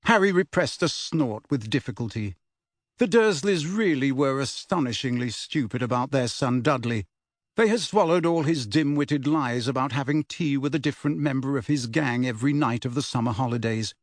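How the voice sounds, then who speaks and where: A man's voice: medium pitch, lively intonation, normal speed, no echo, clean studio sound. A man reading a novel aloud as scripted audiobook narration.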